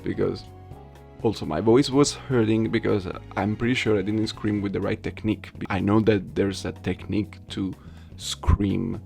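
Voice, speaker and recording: A man's voice: fairly low pitch, vocal fry, a little mellow. A man chatting casually to viewers as an amateur voice actor, self-deprecating, repeating himself and checking in with little tag questions. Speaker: amateur voice actor, video host.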